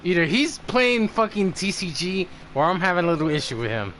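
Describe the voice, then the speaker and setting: A man's voice: sits high, brisk, a little hiss or hum. Foul-mouthed gaming streamer man. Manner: profane, excitable, talks over the game. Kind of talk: casual game commentary.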